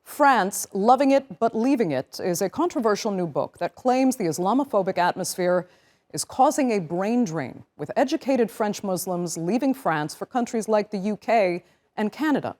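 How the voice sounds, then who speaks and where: News anchor lady, mid voice, clear scripted read, studio sound. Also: mid-range voice, lively intonation, a little mellow. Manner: clear, measured delivery with emphatic openers. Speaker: news show host.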